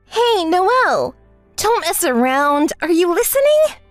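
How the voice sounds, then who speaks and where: A woman's voice: high-pitched, very animated, moderate pace, dry recording, soft background music. A woman voicing a spoiled, entitled young bride who is whiny, demanding and hysterical in a melodramatic story. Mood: outraged. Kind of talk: melodramatic dubbed story dialogue.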